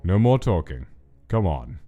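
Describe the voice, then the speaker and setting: A man acting as an older skeleton scientist with a very low voice. He is cold, controlling and menacing, choosing formal, clinical words with measured weight. It is a dramatic comic dub with music playing clearly behind. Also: sing-song, dark tone, highs cut off. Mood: stern, clinical.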